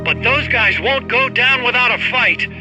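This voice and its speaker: High-voiced earnest engineer. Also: male voice, expressive, moderate pace, music in the background, low-quality recording. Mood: earnest, persuasive. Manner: earnest, deferential. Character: earnest, polite male weapons engineer, eager and deferential. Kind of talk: video game cutscene acting.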